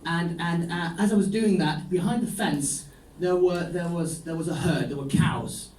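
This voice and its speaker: Wry high-voiced comedian, echoey club. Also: male voice, normal speed, slight background noise. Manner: dry, ironic storytelling with deadpan asides. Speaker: stand-up comedian. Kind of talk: stand-up set. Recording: echoey room, voice from a distance.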